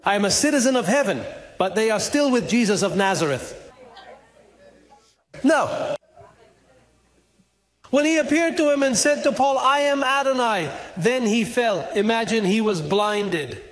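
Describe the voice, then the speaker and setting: A middle-aged man with a strikingly high voice preaches on a studio stage. He speaks into a handheld mic, animated, acting out the voices in his story and climbing to shouts. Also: unhurried, long pauses. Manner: animated, gesturing, acts out dialogue, rises to shouts. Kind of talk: sermon.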